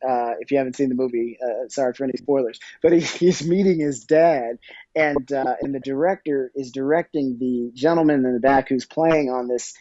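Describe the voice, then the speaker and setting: A man with a soft-edged, mellow voice of average pitch explains things casually as an interview guest, often pausing to find his words. The recording is very dry and a little dull. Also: no echo. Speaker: podcast guest, audio drama producer and musician.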